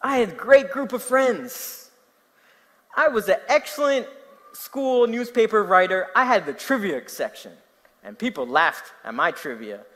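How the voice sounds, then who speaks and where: A man's voice: sits high, a little slow. A young man from New Jersey with a New Jersey accent, preaching a sermon, telling stories and asking his listeners questions. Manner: animated storytelling, asking the audience questions. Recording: long hall reverb.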